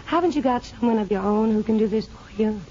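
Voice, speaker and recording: A woman's voice: fairly high pitch, fast, warm tone, close to an everyday voice. An actress playing an earnest young woman in a restrained, plain-spoken 1950s radio drama style. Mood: gently teasing. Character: earnest young woman, former prisoner working as nurse's aide. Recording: dull, hissy 1950s radio broadcast.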